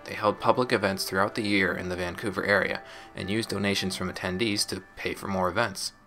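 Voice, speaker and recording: A man playing a reflective former gang member, recording a measured, explanatory audio diary over music. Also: low voice, steady tone, pushed and bright. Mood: measured reflection. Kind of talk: scripted in-character audio diary narration. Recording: music bed under the voice.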